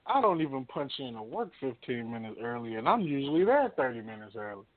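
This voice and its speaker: A man's voice: mid-range voice, sing-song, quick pace, no echo. A man co-hosting a radio show in casual chat, with a blunt, slangy way of talking. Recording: narrow tinny phone-like sound.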